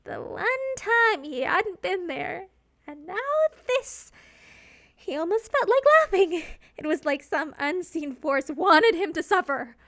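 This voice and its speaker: A woman's voice: extremely high pitch, animated, slow pace, fairly bright tone. A woman reading fan fiction as a narrator, in a scripted, dramatic storytelling style.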